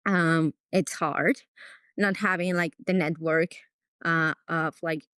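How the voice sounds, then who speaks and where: A woman's voice: low-pitched, fairly even intonation, takes it slowly, a bit bright, clear, resonant tone, no room sound, clean studio sound. A woman from Mexico with a Mexican accent and Spanish as her first language, answering interview questions conversationally as a veterinarian. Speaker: veterinarian, podcast guest.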